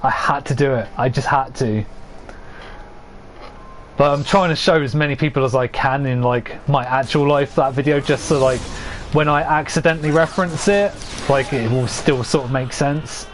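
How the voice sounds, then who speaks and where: A young man giving rambling, casual commentary to his chat as he plays, laughing often at his own jokes and a little hoarse from losing his voice. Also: medium pitch, moderate pace, slight background noise. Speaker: streamer.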